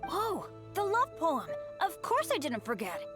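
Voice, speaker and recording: An excitable boy adventurer from a video game, speaks with big swings of intonation. He is earnest and boastful, cheerful despite bad luck, with soft music underneath. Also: sits high, measured pace, heavily acted. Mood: flustered, grateful. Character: earnest boy adventurer, upbeat, chronically unlucky. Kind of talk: English video game dub, animated.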